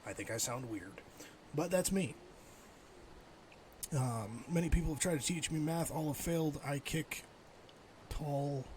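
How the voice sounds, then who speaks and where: A man's voice: a little high, measured pace, long pauses, darkish tone, slight background noise. A middle-aged man livestreaming a game, thinking aloud and chatting casually with viewers. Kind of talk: casual live game stream chat.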